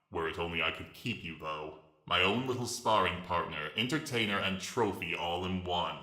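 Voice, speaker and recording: A man voicing a huge old scaly pterosaur monster in a dramatic reading, raspy and ragged, with slow, mocking, grandiose menace. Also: sits low, sharp tone, roomy sound. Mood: gloating, taunting. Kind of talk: dramatic reading of fan fiction.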